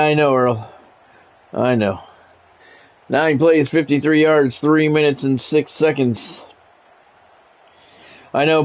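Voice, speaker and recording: A man's voice: fairly high pitch, a little mellow. A man doing practised radio-style football commentary in clipped phrases, mixed with casual chat, as he streams a video game.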